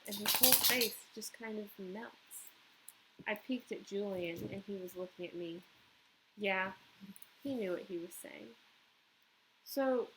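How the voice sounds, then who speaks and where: A woman's voice: mid-range voice, fairly bright tone. Woman reading aloud, noisy background. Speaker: teacher reading aloud to pupils. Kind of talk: children's book read-aloud with commentary.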